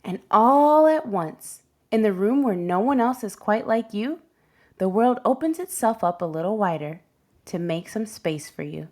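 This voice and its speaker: A woman storyteller reads aloud from a children's book. Her phrasing is gentle and deliberate, shaped for young listeners, and her pitch sits in an ordinary middle range. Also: expressive. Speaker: read-aloud host and storyteller. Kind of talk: expressive children's picture book read-aloud.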